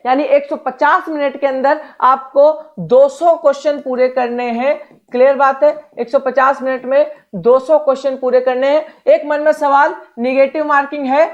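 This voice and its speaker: The recording is a little dull and lo-fi. A woman speaks in a clearly high-pitched voice. She teaches in a direct, explanatory style, addressing students as an exam coach. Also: fairly even intonation, moderate pace. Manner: direct, instructional, repeats check phrases. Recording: dull, lo-fi sound.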